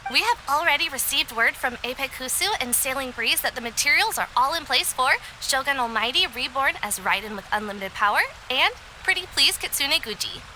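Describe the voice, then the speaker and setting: A woman's voice: high-pitched, lively intonation, a little fast, hardly any pauses, pushed and bright, slight background noise, exaggerated voice, rough recording. A woman voicing an efficient, eager office subordinate giving a businesslike report in a video game.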